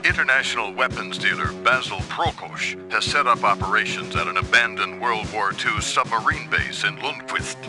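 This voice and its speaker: A man playing a formal, measured agency voice delivering a recorded mission briefing in a video game. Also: on the low side, animated, over music, natural voice.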